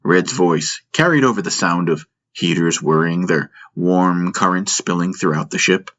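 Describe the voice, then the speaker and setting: A man's voice: deep voice, measured pace. A man reading a scripted first-person science-fiction story as an audiobook narrator. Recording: dull muffled sound under loud music. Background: loud music.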